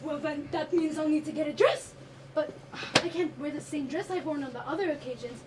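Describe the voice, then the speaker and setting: A woman's voice: high voice, fairly quick, close to an everyday voice. A young woman, a student stage actor, playing a put-upon housewife who answers back with indignant sarcasm in a live one-act play. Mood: pleading, frustrated. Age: teenager or young adult student. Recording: distant, noisy stage recording.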